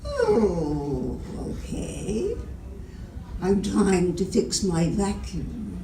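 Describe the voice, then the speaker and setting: A woman's voice: medium pitch, big pitch swings, drawn-out words, exaggerated voice. An older woman playing a scatterbrained, hesitant woman in an amateur radio theatre reading. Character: scatterbrained woman with a broken old vacuum. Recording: echoey room, distant mic, noisy background.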